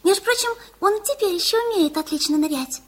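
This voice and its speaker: Very high-voiced innocent little girl. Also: animated, fast. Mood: earnest. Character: earnest, innocent little girl in a fairy tale. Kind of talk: 1960s Soviet radio play acting.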